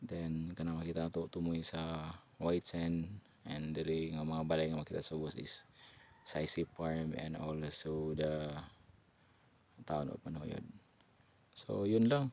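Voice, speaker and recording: A man's voice: extremely low pitch, little pitch movement, measured pace, dark tone. A man with a Cebuano Filipino accent giving casual, informal vlog commentary in short fragments as he works on site. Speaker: radio repeater technician and vlogger. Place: hilltop radio repeater site. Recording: noisy on-site amateur recording.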